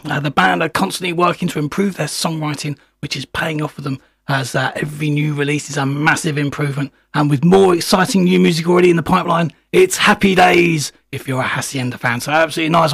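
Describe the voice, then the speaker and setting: Man, radio host, husky from illness, gravelly, dry close sound. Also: on the high side, steady tone, fast, a bit bright, no echo. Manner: chatty, lots of fillers. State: slightly husky from a chest infection. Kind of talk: radio show presenting.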